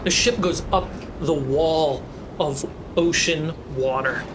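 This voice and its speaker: Game master, slow dramatic narration, suspenseful pauses, mid-pitched voice, noisy ambient background.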